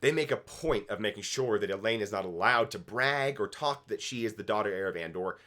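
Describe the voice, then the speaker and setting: A man with a low-pitched voice talks through an episode as a review co-host. His delivery is conversational and opinionated, with emphatic wording and casual profanity. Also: lively intonation, brisk, sharp tone. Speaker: fan review show co-host.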